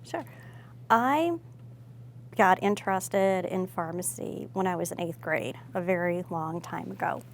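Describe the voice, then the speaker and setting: American woman, middle-aged, average pitch, informal storyteller, self-correcting, casual interview answers. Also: unhurried, slight background noise. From Chicago, United States. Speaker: hospital pharmacist.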